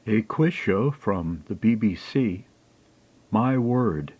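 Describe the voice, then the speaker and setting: A man's voice: fairly low pitch, lively intonation, slow, darkish tone, slightly rough, dry recording. A man hosting a radio program, announcing the evening's lineup of shows.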